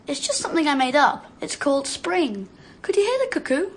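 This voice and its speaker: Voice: sits high, sing-song, brisk, soft background music. An actress playing a modest, dreamy, musical young boy in a radio drama, talking casually but eager to be heard. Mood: modest, hopeful.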